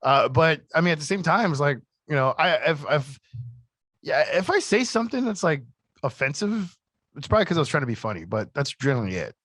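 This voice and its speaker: A man with a high-pitched voice co-hosting a casual podcast from a radio studio, rambling and laughing often. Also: very animated, fairly quick, fairly bright tone, no echo. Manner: laughs a lot, rambling, self-deprecating. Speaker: podcast co-host. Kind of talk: casual chat. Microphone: studio mic.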